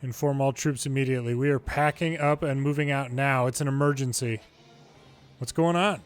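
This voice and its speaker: A man's voice: medium pitch, steady tone, brisk, smooth, faint music behind. A man reading a video game's story dialogue aloud in English, as a longplay narrator.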